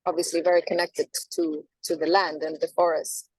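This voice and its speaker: Soft-spoken woman, thoughtful. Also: sits low, level tone, dry recording, rough recording. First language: Norwegian. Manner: thoughtful, halting, with many restarts and fillers. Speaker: singer, interview guest. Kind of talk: reflective interview answers.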